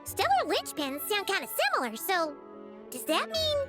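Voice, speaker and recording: A tiny female companion creature from a video game. The voice is extremely high, squeaky and childlike, bouncing through very animated pitch with wide-eyed, earnest curiosity over clearly audible music. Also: normal speed, exaggerated voice. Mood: curious. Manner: eager, wide-eyed, questioning. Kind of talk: scripted video game voice acting.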